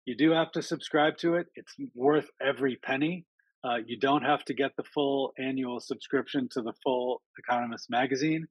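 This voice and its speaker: A man's voice: mid-range voice, moderate pace, dry recording, rough recording. A man giving a casual talk to an online audience over a video call, friendly and chatty, with frequent ums and uhs. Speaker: speaker at an online town hall.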